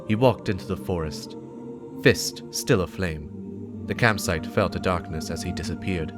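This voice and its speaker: Deep-voiced man narrating a dramatic story. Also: moderate pace. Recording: music behind the voice. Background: game soundtrack music.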